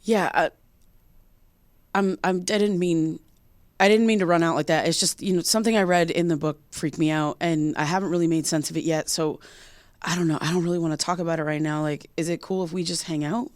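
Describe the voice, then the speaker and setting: A woman playing an anxious young woman in a naturalistic audio drama, halting and self-conscious as she confides. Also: lowish voice, fairly even intonation, dry recording, lightly acted. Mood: evasive, sheepish. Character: anxious young woman confiding a haunting dream, apologetic, rattled. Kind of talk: naturalistic audio drama acting with confessional narration.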